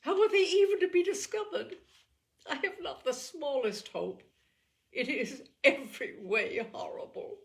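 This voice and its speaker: A woman voicing an agitated young gentlewoman from a classic novel, breathless and near tears, with anguished emphasis in an audiobook reading. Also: sits high, lively intonation, unhurried, vocal fry, voice pushed up, heavily acted. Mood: anguished, hopeless. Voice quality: breathless, breaking voice.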